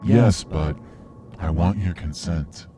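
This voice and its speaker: A man playing a cold, self-righteous vigilante killer in a radio drama, speaking in a measured, menacing, lecturing way. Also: deep voice, mellow, soft background music. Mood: smug, calculating.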